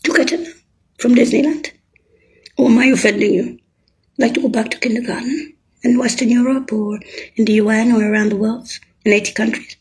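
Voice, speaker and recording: A woman talking alone in a rambling, profane rant that jumps between topics and breaks into sudden shouts. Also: a little high, fairly even intonation, a little fast, low-quality recording. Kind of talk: rambling solo monologue.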